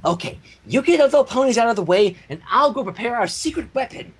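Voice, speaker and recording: A man's voice: extremely high pitch, expressive, fast talker, slightly rough, voice pushed up, full character voice. Man putting on a bossy, huffy girl pony. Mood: bossy, grumbling. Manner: scornful, huffy. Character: bossy, disdainful young female pony.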